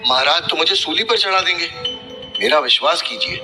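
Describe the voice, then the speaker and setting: A man's voice: a little high, animated, fairly quick, sharp tone, faint music behind. A man playing an anxious, loyal royal treasurer in a historical audio drama, hesitant and flustered. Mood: fearful, pleading.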